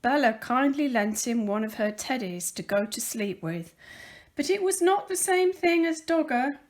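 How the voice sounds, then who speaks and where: A woman reading a children's picture book aloud as a volunteer storyteller, with careful, expressive emphasis on the words. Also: high voice, moderate pace.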